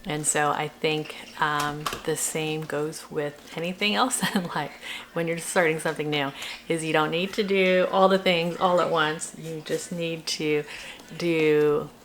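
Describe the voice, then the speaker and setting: A middle-aged woman talks in a low, creaky voice. She thinks aloud in a casual, halting vlog style. Faint noise sits behind her words. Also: fairly even intonation, fairly bright tone. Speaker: homeschooling parent and video blogger. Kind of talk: casual reflective vlog chat.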